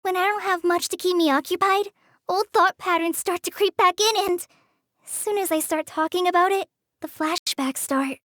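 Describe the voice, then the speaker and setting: A woman's voice: extremely high pitch, moderate pace, a bit bright, no echo, clean studio sound. Shy young ranger girl. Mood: gentle. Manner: hesitant, apologetic, sighing. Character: shy young female forest ranger apprentice. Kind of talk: game character voice lines. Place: studio booth.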